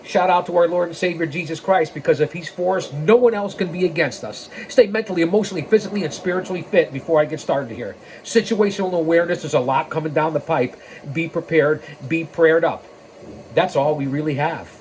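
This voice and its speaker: A man's voice: fairly high pitch, a little fast, darkish tone, slight background noise, rough recording. An American man in his sixties hosting a podcast, reading aloud and breaking off into emphatic, rambling commentary addressed straight at people. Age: 60s. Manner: emphatic, rambling, with sidetracks and direct appeals. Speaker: podcast host.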